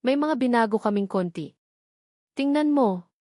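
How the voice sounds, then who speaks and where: A woman narrator playing a slick, businesslike male music producer who talks flatly and mixes English with Tagalog. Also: extremely high pitch, a little slow, no echo, studio quality. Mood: flat, unimpressed. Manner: flat, emotionless, code-switches between English and Tagalog.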